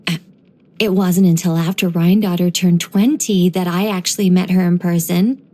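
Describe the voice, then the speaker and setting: A woman voicing a gentle, wise young angelic woman in game voice lines, soft and wryly musing. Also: on the low side, fairly even intonation, moderate pace, lightly acted.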